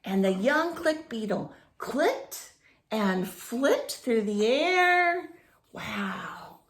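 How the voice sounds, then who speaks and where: A woman reading a picture book aloud to young children in an expressive, drawn-out way, with chatty asides and questions to the listeners. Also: on the high side. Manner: animated, drawn-out phrasing, asks the listeners questions.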